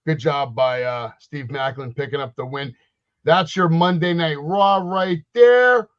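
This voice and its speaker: A man in his forties co-hosting a casual wrestling podcast, ranting loudly and slipping into mock wrestler catchphrases. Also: a little high, unhurried, dull audio. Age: 40s. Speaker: podcast co-host.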